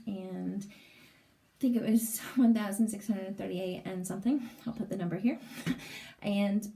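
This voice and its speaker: A woman with a raspy, strained throat, giving a hesitant, casual vlog update with many pauses and fillers, recorded indoors in a small bathroom. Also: medium pitch, warm tone. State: lingering cough, strained throat. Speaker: vlogger.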